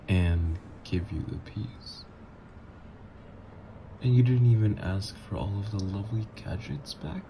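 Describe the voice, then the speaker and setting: A man's voice: fairly low pitch, warm tone, a little hiss or hum. A man voicing a teasing supervillain ex. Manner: teasing, playful, flirtatious. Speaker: voice actor. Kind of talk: ASMR roleplay audio.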